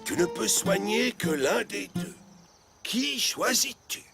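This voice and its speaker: A man voicing a kindly, patient village storyteller in a French children's cartoon, with an expressive, measured delivery. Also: high voice, creaky, heavily acted. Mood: storytelling. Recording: music behind the voice.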